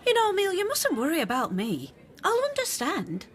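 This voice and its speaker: A worldly café woman in a radio play. Her voice is high and swings freely in pitch, and she is frank, teasing and bluntly probing. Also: wildly swooping pitch, a little fast, slight background noise, exaggerated voice. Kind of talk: radio drama dialogue.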